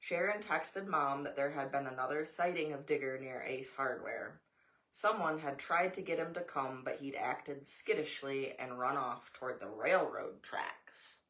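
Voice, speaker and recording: Low-pitched American woman reading a picture book. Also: a little slow, fairly bright tone. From United States. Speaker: storybook reader, mother. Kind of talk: picture-book read-aloud with informal asides to a child.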